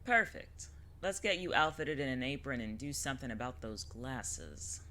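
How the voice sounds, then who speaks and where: A woman's voice: very deep voice, lively intonation, moderate pace, sharp tone, full character voice. A woman playing a brash, jaded witch in an audio drama, speaking with wry, breezy, theatrical sarcasm.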